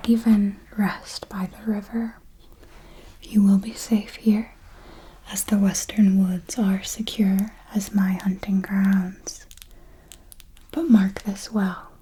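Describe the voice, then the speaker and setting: A woman playing an elegant vampire mentor in a whispered ASMR roleplay, formal and deliberate, possessive, teasingly menacing and protective, with a breathy delivery. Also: medium pitch, level tone, warm tone, a little hiss or hum, close to an everyday voice, poor audio. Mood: protective warning. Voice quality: breathy, mostly unvoiced whisper. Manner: slow, deliberate, formal, with measured pauses.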